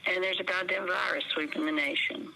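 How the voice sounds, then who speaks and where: A woman's voice: lowish voice, steady tone, a little fast, creaky, bright, forceful tone, exaggerated voice, low-quality recording. A woman playing a guarded, blunt park ranger investigator, answering interview questions matter-of-factly with an American accent. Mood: defensive, weary.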